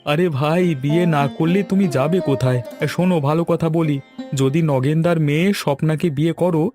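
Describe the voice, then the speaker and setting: A talkative cartoon villager, voiced by a man, with a fairly high voice and a coaxing way of talking. He is a helpful, eager matchmaker, heard over light music. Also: normal speed, warm tone, close to an everyday voice. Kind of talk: Bengali cartoon dialogue.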